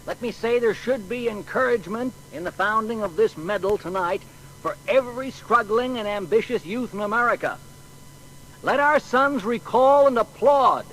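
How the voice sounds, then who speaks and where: High male voice, proud formal orator, stately, declamatory, audio drama, faint noise. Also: fairly bright tone, rough recording. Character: proud American banquet orator giving a grand toast.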